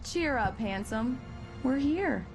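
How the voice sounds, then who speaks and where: An actress with an American accent playing a writer's soothing, affectionate wife in a video game. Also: female voice, sits high, animated, slow, exaggerated voice. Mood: affectionate, upbeat. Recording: music behind the voice.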